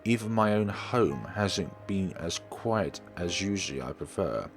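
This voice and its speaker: A man with a fairly low voice reads visual novel narration aloud with expression. He occasionally trips on words and corrects them as he goes. Music plays underneath his voice. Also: expressive, a little slow. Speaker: let's player reading a visual novel aloud. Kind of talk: expressive read-aloud of first-person story narration. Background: background music.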